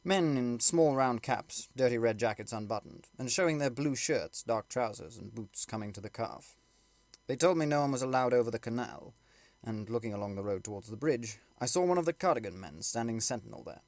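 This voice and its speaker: A man's voice: mid-range voice, animated, brisk, darkish tone, dry recording. Male audiobook narrator, very dry close sound. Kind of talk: scripted literary narration.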